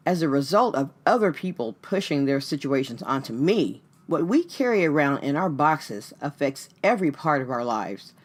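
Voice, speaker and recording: A woman hosting a radio show as a life coach, speaking earnestly and directly to the listener in a scripted but conversational motivational style. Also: low voice. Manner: earnest, direct address to the listener with rhetorical questions.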